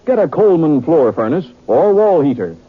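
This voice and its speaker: A male announcer reads a vintage radio advertisement with a very animated swing and a rough-edged voice. The recording is thin and phone-like. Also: a little high, normal speed. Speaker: commercial announcer. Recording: tinny, band-limited vintage radio recording.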